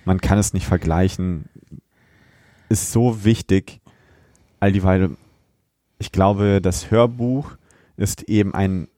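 Low-voiced man in casual podcast chat. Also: steady tone, slow, long pauses, dark tone, dry recording, studio quality. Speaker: podcast co-host.

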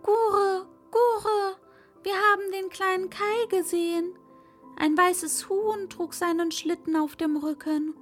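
Woman doing high cooing wood pigeons. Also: a bit bright, heavily acted.

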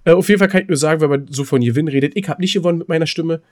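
A man chatting casually as a podcast host, rambling and halting, often repeating his words mid-sentence. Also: on the high side. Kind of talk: casual chat between co-hosts.

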